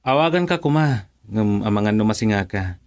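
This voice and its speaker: A man's voice: lowish voice, expressive, a little fast. An actor with an Ilocano accent playing a patient, easygoing young father and husband in a radio drama. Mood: calm, reassuring.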